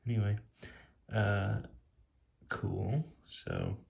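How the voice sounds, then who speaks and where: A man speaking softly and casually in ASMR style, sometimes near a whisper, musing off the cuff as he reviews something. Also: fairly low pitch, huge pitch swings, very slow, gravelly. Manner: hushed, sometimes dropping to a whisper; casual, laid-back musing. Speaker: tarot deck reviewer. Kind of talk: soft-spoken ASMR, impromptu commentary.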